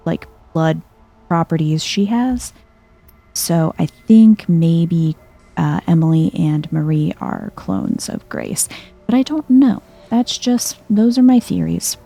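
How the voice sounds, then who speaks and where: A woman speaks softly in a dark, mellow, fairly low voice, musing through a relaxed video game playthrough. Her delivery is slow and chill, in a cozy ASMR style. Faint music sits behind her commentary. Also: gravelly. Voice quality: soft-spoken. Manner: calm, chill, thoughtful, musing aloud. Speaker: video game streamer, let's player. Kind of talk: soft-spoken casual let's play commentary, ASMR vibe.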